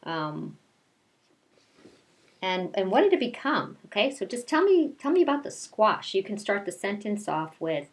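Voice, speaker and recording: Low-voiced woman teacher at story time. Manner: conversational, addresses children directly with questions. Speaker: kindergarten teacher reading a picture book aloud. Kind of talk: story time for young children, read-aloud mixed with casual chat.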